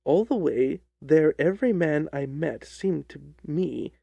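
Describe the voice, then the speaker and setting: A male audiobook narrator with a mellow, slightly high-set voice. He reads dramatic fiction in a measured, deliberate way, with some creak, in a dry, close recording. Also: dry recording, highs cut off.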